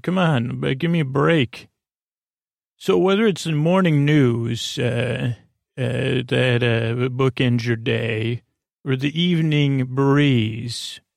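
A man's voice: mid-range voice, vocal fry, no echo, clean studio sound. A man hosting a bedtime podcast in a rambling monologue, hesitant and meandering, often losing his thread and doubling back. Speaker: podcast host.

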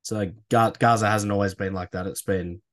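A man hosting a podcast, soft-spoken and gentle in a casual interview. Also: lowish voice, steady tone, normal speed, no room sound, clean studio sound. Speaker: podcast host.

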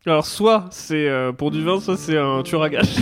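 A man with a somewhat high voice banters on a comedy podcast. He cracks jokes, laughs through his lines and lets sentences trail off as he riffs with the hosts. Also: a little slow. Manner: joking, laughing often, trailing off. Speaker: guest, tech entrepreneur persona.

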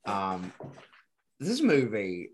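A man's voice: fairly low pitch, wildly swooping pitch, drawn-out words, a little hiss or hum. A man on a casual film podcast who gives enthusiastic, emphatic opinions. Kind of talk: casual film review chat.

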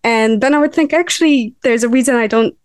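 A woman's voice: fairly high pitch, fairly quick, smooth, no room sound, clean studio sound. A young woman with a Lebanese accent and Arabic as her first language, talking candidly and chattily as a podcast co-host. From Lebanon, raised in Abu Dhabi. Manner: candid, chatty, filler-heavy.

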